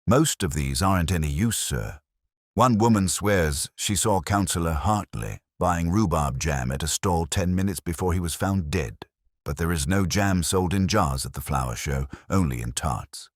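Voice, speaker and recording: A man's voice: deep voice, lively intonation, normal speed, no echo, studio quality. A man voicing an earnest, dutiful police sergeant in a mystery story, plain and deferential toward his superior. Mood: earnest, sceptical.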